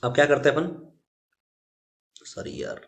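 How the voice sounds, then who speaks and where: A man's voice: a little high, measured pace, fairly bright tone. A man with an Indian accent teaching a physics solution step by step, mixing in English technical terms and often checking in with short tag questions. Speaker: physics tutor.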